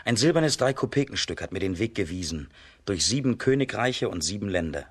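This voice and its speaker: Husky, earnest young hero. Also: male voice, fairly low pitch, expressive, brisk. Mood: humble, earnest. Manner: earnest, sincere. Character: brave humble young peasant hero, earnest. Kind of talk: children's radio drama acting.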